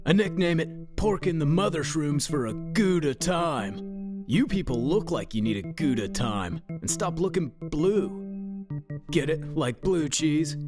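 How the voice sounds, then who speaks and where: A man playing a clownish, crude pizza cook in a comic radio drama. His voice swings up and down theatrically as he hams it up, with music clearly behind him. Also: on the high side, expressive, measured pace. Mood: jokey, eager. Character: goofy, crude, pun-loving male pizza cook. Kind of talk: comedic radio drama acting.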